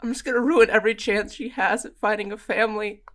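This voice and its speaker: A woman's voice: mid-range voice, fairly even intonation, fast talker, a bit bright, natural voice. A woman acting a self-doubting, guilt-ridden young character in an actual-play game, despairing and self-blaming.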